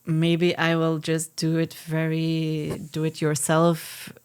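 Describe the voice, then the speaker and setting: A woman's voice: low voice, monotone, slow, darkish tone, clear, resonant tone. A woman with a Dutch accent, a musician guest, answering questions thoughtfully and hesitantly in a casual interview.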